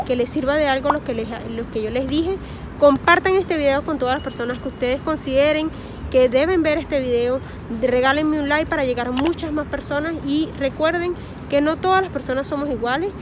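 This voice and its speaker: High-voiced Venezuelan woman vlogging in a park. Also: noisy background. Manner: earnest, repeats words while searching for them. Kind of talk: advice monologue to camera. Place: park outdoors among trees. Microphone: phone or camera at close range, face masked.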